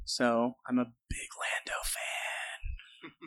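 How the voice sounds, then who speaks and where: A man's voice: medium pitch, fairly even intonation, drawn-out words, sharp tone, no echo, in a whisper. A man podcaster introducing himself on a fan panel, rambling and self-deprecating. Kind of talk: convention panel chat.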